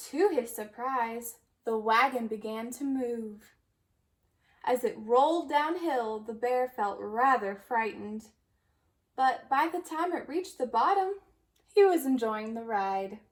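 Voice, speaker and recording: A woman reading a children's story aloud in an expressive storytelling manner. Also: fairly high pitch, takes it slowly. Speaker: storybook reader.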